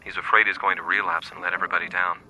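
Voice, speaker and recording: An actor playing a loyal, troubled friend who will not give up, in a radio drama. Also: male voice, deep voice, level tone, racing, sharp tone, slight background noise, poor audio. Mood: concerned, resolute. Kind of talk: scripted radio drama acting.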